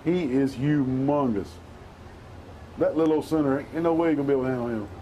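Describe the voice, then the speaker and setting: A middle-aged man calling a local football game, folksy and excitable, his voice hoarse. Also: medium pitch, fast talker, highs cut off, noisy background. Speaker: play-by-play announcer.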